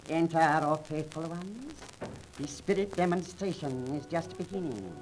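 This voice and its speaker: A séance-house doorkeeper and swindler's accomplice, speaking in a very low female voice. She is formal and portentous, in the manner of 1930s radio acting. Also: animated, measured pace, noisy background. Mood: solemn, formal.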